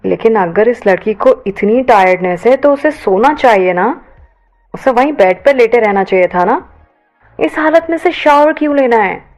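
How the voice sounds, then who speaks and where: A woman's voice: mid-range voice, darkish tone. A woman narrating a scripted romance audio story expressively, mixing English words into her Hindi. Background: background music.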